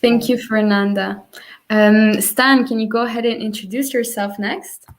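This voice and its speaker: A woman's voice: a little high, fairly even intonation, unhurried. A woman from Colombia in her twenties, hosting an online panel over a video call, with many fillers and restarts. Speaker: panel moderator.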